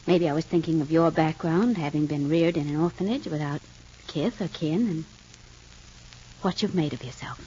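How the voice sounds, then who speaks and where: An actress playing a gentle, hesitant wife in a 1950s radio soap opera, with earnest delivery. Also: female voice, sits low, moderate pace, lightly acted. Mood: hesitant, wistful. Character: gentle, hesitant wife hoping to adopt an older child. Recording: dull, noisy old radio recording.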